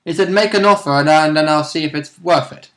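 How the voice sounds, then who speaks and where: A man's voice: fairly high pitch, a little fast, fairly bright tone. A man chatting casually as a tabletop player, explaining and correcting details as he goes. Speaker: tabletop role-playing player. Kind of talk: casual chat.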